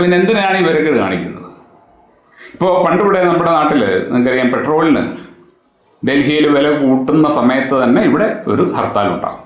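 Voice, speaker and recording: A man delivering an admonishing, emphatic opinion monologue straight to the public, posing rhetorical questions. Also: on the high side. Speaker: social commentator. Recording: very echoey, reverberant room.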